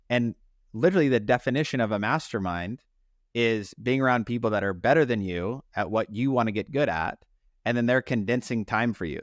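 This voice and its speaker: Emphatic male podcast host, low-pitched. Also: dry recording, clean studio sound. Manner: emphatic, repeats phrases for emphasis. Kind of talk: motivational podcast talk.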